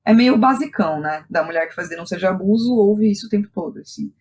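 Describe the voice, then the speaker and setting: Brazilian woman chatting as a podcast guest, candid and slangy, mid-pitched voice. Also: expressive, quick pace, a little mellow. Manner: candid, informal, with frequent slang and tag questions. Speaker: guest, playwright and director. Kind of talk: casual personal storytelling in a podcast interview.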